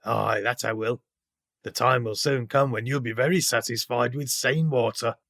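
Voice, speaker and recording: An actor playing a gruff, surly tavern keeper in an audio play, sneering at his guests in a gravelly voice. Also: medium pitch, fairly even intonation, normal speed, a bit bright, dry recording, clean studio sound.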